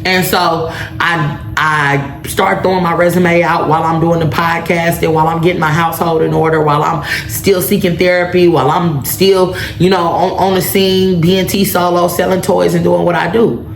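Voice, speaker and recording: A woman's voice: on the low side, level tone, moderate pace, vocal fry. A woman in her thirties hosting a casual solo podcast, telling a story and turning to her listeners again and again to check they are with her. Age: 30s. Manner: chatty storytelling that keeps turning to the listeners with "right?" and "y'all". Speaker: podcast host. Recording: echoey room with faint background noise.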